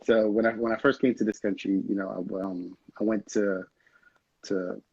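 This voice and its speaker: A man's voice: lowish voice, flat delivery, moderate pace, vocal fry, a little mellow, breathy edge, no echo. A young man from Haiti, a film director, chatting casually and rambling in an interview, laughing often. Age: young adult. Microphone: video call. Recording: dull lo-fi video call audio.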